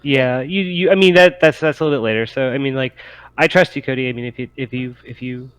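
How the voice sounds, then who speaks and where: A man's voice: mid-range voice, fast, a bit bright, clean tone, no echo, rough recording. A man talking casually as game master of a tabletop game, in a hesitant, conversational way.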